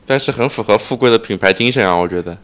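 A man's voice: fairly low pitch, quick pace, a bit bright, clear, resonant tone. A man co-hosting a casual motor racing chat with wry, teasing interjections. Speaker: podcast co-host.

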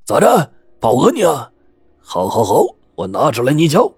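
A man voicing a brash, gruff old hustler who shouts curt demands. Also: medium pitch, very animated, fast talker, dry recording, voice pushed up. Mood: gruff bossy.

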